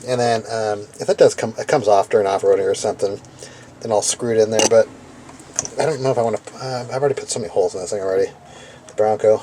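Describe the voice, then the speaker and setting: A man's voice: fairly low pitch, steady tone, fast talker, mellow. A man giving a casual hands-on demonstration, thinking aloud in a hesitant, self-doubting way as he works. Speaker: DIY car radio tinkerer narrating repair video. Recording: clear background noise, vehicle ambience. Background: vehicle ambience and noise.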